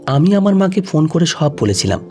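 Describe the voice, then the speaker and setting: A male storyteller narrates a scripted emotional tale. His voice is warm and mellow, sitting slightly higher than average. Music plays behind his reading. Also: sing-song, fairly quick. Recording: music under the voice. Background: background music.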